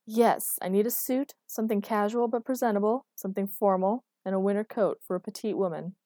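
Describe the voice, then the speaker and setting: A woman's voice: mid-range voice, moderate pace, warm tone, dry recording, studio quality, lightly acted. A woman voicing an exasperated, bossy boyfriend in audiobook dialogue, firm, nagging and long-suffering. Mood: exasperated, pleading. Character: exasperated bossy responsible boyfriend, a working man.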